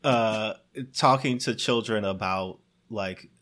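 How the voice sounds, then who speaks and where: A man's voice: on the low side, slow pace, fairly bright tone. A man casually discussing a film, with exasperated emphasis and some swearing, often amused as he talks. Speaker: podcast co-host.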